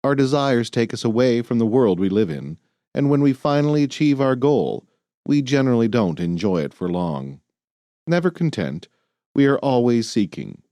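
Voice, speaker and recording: A man reading a nonfiction audiobook in a measured, earnest, clearly phrased way. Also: medium pitch, big pitch swings, dark tone, dry recording. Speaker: audiobook narrator. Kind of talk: scripted nonfiction audiobook narration.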